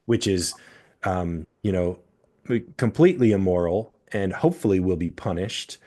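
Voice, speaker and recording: A man hosting a podcast, explaining his views at length in a measured, steady way. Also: deep voice. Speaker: podcast host. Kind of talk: casual political discussion.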